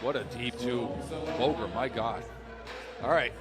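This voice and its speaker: A man calls a basketball game in English. His voice sits in an ordinary middle pitch. His delivery is relaxed and chatty, and it rises when the big shots fall. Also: a little slow, over loud music. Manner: conversational, excitable on big shots. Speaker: play-by-play commentator. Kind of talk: live basketball commentary.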